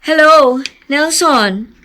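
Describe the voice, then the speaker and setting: A woman's voice: high-pitched, extremely slow, sharp tone, full character voice. A woman playing a protective, scolding, sassy young woman in a radio drama. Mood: chiding.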